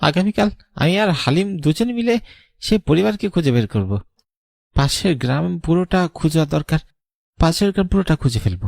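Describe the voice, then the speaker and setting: A man's voice: a little high, sing-song, a little fast, vocal fry, darkish tone, no echo, studio quality. A man voicing an earnest, anxious but determined young madrasa student in a horror cartoon.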